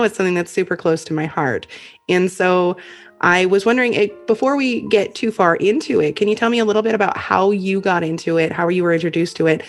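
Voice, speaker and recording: A woman hosting a podcast, enthusiastic and friendly as she introduces and interviews her guest. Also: lowish voice. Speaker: podcast host.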